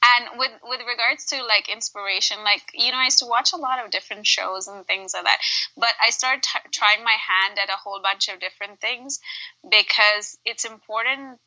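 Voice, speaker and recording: A woman's voice: on the high side, normal speed, pushed and bright, dull audio. A woman from Dubai in her thirties, a home cook guest talking animatedly in a casual interview and laughing often.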